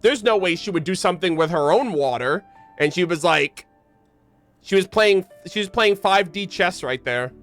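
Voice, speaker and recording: Animated, high-pitched young male streamer. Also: normal speed, faint music behind. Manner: slangy, incredulous, joking. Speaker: game streamer. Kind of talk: casual live gameplay commentary.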